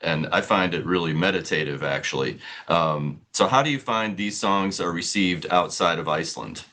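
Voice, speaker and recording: A man's voice: low voice, moderate pace, bright, forceful tone. A man interviewing a band in an easygoing, enthusiastic way. He laughs often and is generous with praise. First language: English. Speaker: music interviewer. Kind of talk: casual interview.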